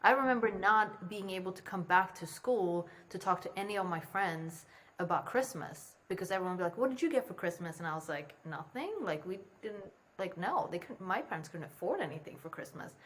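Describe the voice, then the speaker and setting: A young woman, raised in Sweden, chats as a podcast guest in a relaxed, candid way, swearing without a second thought. Her voice sits fairly low. There is a faint noise under her remote call. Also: brisk. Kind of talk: casual interview conversation. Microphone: remote call from home.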